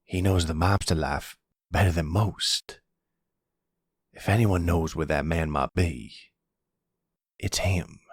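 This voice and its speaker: A man playing a protective, calm older mafia grandfather in an ASMR roleplay, speaking gently in a folksy way. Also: low-pitched, slow, pauses often, husky, no room sound, clean studio sound, full character voice. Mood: concerned, steady. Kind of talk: ASMR boyfriend roleplay acting.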